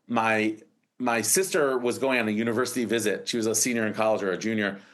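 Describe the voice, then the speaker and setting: A man's voice: lowish voice, quick pace, a bit bright. Rambling American man in his forties. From United States. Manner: rambling, many restarts and fillers. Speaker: startup founder, guest. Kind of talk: casual interview storytelling.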